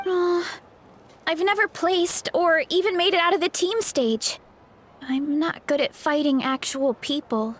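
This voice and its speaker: A woman's voice: extremely high pitch, a little slow, slight background noise. A woman voicing a sweet, modest, self-doubting young fighter from a family orchard in a video game. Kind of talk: video game character acting.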